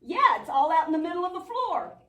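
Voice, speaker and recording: A woman's voice: very high voice, sing-song, a little fast, fairly bright tone, full character voice. A woman playing an earnest, patient but bossy young puppet friend in a church show, explaining and chiding. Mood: teasing. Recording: echoey room, distant mic.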